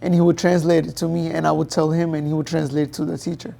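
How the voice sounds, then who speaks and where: A man's voice: a little high, little pitch movement, fast, vocal fry, mellow. A young man from Pakistan, whose first language is Urdu, answering interview questions as a guest in a plain, unpolished way with plenty of fillers. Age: young adult. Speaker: guest, professional boxer.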